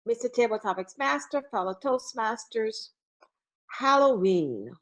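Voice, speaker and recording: Lady giving a club speech on a video call, high voice that swoops a lot, takes her time. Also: animated. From Barbados. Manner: formal and measured, with dramatic emphasis. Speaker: Toastmasters speaker.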